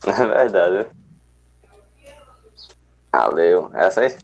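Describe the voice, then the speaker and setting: A man's voice: low voice, very animated, drawn-out words, creaky, a little mellow, muffled recording. A young man student, laid-back and slangy, answering his teacher over a video call. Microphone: video call mic.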